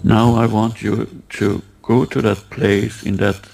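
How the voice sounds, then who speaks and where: A man leading a guided meditation, giving halting, unhurried instructions and asking gentle questions. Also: on the low side, flat delivery, creaky, background noise.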